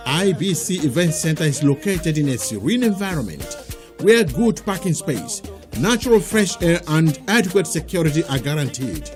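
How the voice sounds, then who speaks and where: A man's voice: fairly high pitch, a little mellow. A man with a Nigerian accent delivering a scripted promotional voice-over as an advert announcer.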